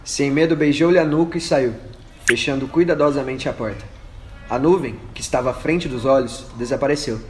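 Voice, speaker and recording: A man's voice: medium pitch, moderate pace. A man narrating a scripted radio drama as its storyteller. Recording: echoey room with faint noise.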